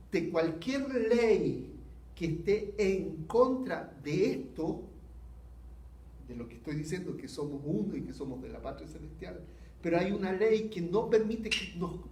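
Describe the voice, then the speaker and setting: Chilean man, high-voiced pastor, slow earnest sermon, roomy echo. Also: darkish tone. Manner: earnest, unhurried preaching with rhetorical questions. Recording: echoey hall.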